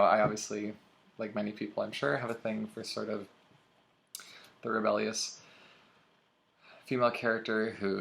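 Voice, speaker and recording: Young guy rambling about books, super gravelly voice, kinda low, lots of ums. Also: fairly bright tone, slight background noise, low-quality recording. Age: young adult. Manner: chatty, many ums and uhs. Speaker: booktuber. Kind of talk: casual book tag vlog.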